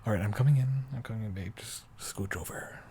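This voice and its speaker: Guy acting as a sweet doting boyfriend, low voice, soft rambly bedtime talk, noisy background. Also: close to an everyday voice. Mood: giddy, tender. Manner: soft, halting, rambling, often dropping toward a whisper. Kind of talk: improvised intimate roleplay audio, sleep aid.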